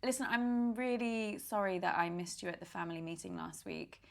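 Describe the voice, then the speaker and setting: An actress playing an anxious young niece in an interactive film, speaking hesitantly with stammers. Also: female voice, mid-range voice, normal speed, creaky, close to an everyday voice. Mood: apologetic. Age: young adult. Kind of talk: naturalistic film dialogue. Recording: background music under the voice.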